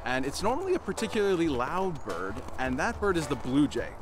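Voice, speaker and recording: Male nature guide, thirties, mid-pitched and fast-talking, informal teaching over music. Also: wildly swooping pitch. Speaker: conservation program manager, nature guide. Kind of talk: informal educational presentation to camera. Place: outdoors in snowy woods.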